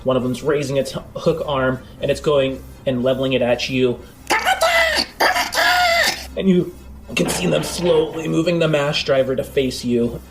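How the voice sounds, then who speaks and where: A man's voice: mid-range voice, fairly even intonation, measured pace, vocal fry, rough recording. A man working as game master, describing scenes in a tabletop roleplaying game in a conversational but dramatic way. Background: background music.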